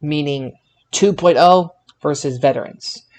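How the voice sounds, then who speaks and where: A man's voice: a little high, measured pace, darkish tone. A man hosting a podcast, leading a casual wrestling review chat. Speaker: podcast host leading the show.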